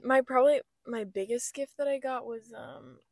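A woman's voice: high voice, moderate pace, no room sound, clean studio sound. A young woman co-hosting a podcast, chatting casually with many fillers and giggles. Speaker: podcast co-host. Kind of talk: casual chat. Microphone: small podcast mic.

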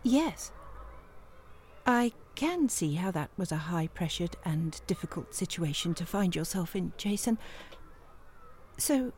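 An actress playing a formal, strained job interviewer in a comic audio play, politely exasperated and clipped. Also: female voice, lowish voice, animated, takes it slowly, pauses often, darkish tone, slight background noise. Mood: incredulous, strained.

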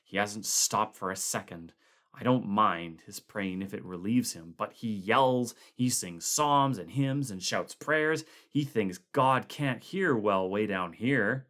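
A man's voice: on the low side, slow, a bit bright. A man voicing an irritable camp cook who speaks in grim, exasperated complaints.

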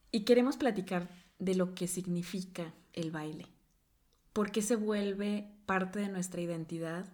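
A woman's voice: lowish voice, darkish tone. A woman hosting a podcast, asking her guest questions in a casual, curious way. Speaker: podcast host.

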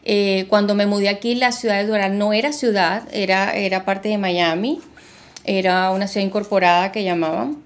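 Woman co-host, mid pitch, relaxed and halting. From Argentina, raised in Venezuela. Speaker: podcast co-host, realtor. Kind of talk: casual podcast conversation.

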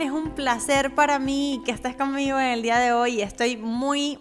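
A woman's voice: a little high, fairly bright tone, clean tone. A young woman from Cuba hosting her own finance podcast, telling her story in an upbeat, personal way. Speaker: podcast host.

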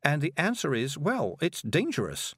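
A man voicing a kindly, nervous middle-aged woman witch, flustered and gentle. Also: fairly high pitch, wildly swooping pitch, moderate pace, husky, dry recording, studio quality, pitched above the performer's own voice, exaggerated voice.

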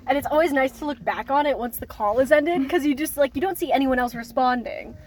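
Loud, swearing young woman. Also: high-pitched, a little fast. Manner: loud, swears a lot, rambling. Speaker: vlog co-host. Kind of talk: casual car chat. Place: car. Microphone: phone set down in car.

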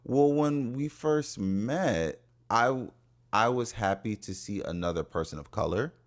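A man's voice: medium pitch, animated, measured pace. A young man co-hosting a podcast in casual chat, a supportive listener who keeps affirming his partner. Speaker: podcast co-host.

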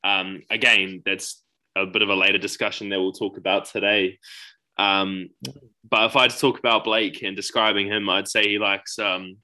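Young male co-host, New Zealand accent, relaxed slangy banter, lower pitch with a bright edge. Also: poor audio. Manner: easygoing, quick laughs, Māori greetings and kiwi slang. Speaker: podcast co-host. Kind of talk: casual podcast chat.

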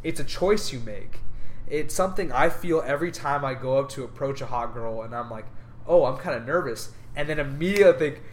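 A man's voice: medium pitch, fairly quick, a little hiss or hum. A young man co-hosting a casual podcast, talking in an earnest, rambling, upbeat way. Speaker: podcast co-host. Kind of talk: casual chat.

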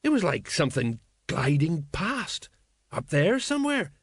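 A man performs an older police sergeant for an audiobook. He grumbles emphatically and rattles easily, with very animated pitch in a dry recording. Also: a little slow, husky, dry recording, exaggerated voice. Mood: alarmed. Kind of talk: audiobook character voice.

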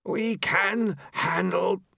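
A man's voice: high-pitched, drawn-out words, gravelly, sharp tone, no room sound, clean studio sound, voice pushed up, full character voice. A man voicing a nagging, petulant female horseman of the apocalypse in a fantasy audiobook. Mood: objecting.

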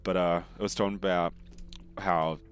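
A young man with a very low voice talks casually with a friend. He delivers absurd jokes flatly and deadpan, never cracking. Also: normal speed, soft background music. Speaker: podcast co-host. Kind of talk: casual banter over a game.

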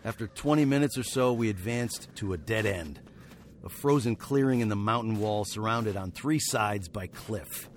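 A man's voice: on the low side, normal speed, slight background noise, natural voice. An actor playing a wry fugitive western hero, narrating his tale in a literary, sardonic way for a radio drama. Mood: grave, wry.

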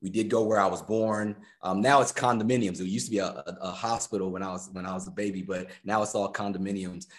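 A man's voice: low-pitched, fairly even intonation, quick pace, hardly any pauses. An American man of about fifty chatting casually as an interview guest, talkative and repeating phrases for emphasis. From United States. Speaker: guest, personal trainer and musician.

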